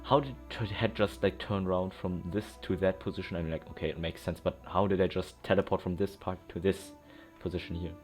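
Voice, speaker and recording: Man narrating his own gameplay, low voice, thinks out loud, loud game music behind. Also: fairly even intonation, a little fast, hardly any pauses. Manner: rambling, thinking aloud. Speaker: gameplay commentator. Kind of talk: casual gameplay commentary.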